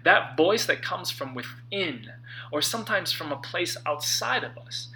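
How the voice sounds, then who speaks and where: Bright, sharp-voiced man reading earnestly. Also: fairly high pitch, expressive, a little hiss or hum, low-quality recording. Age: late 30s. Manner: conversational, earnest, dramatic pauses. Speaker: author reading own book. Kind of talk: personal audiobook narration, motivational.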